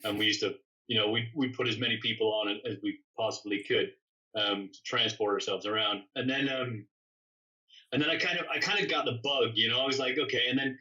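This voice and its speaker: A man's voice: medium pitch, steady tone, a little fast, sharp tone. A man tells stories casually in a rambling, self-correcting way. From born in England, grew up in Canada. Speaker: interview guest, custom motorcycle builder. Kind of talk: casual conversational storytelling. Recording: dull, distant microphone sound.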